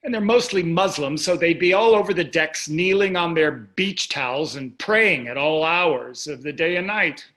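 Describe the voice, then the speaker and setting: A man's voice: on the high side, normal speed, hardly any pauses, bright, forceful tone. An actor reading the part of a blasé, privileged husband in his sixties in a staged play, with dry, dismissive remarks. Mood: dismissive, irritated.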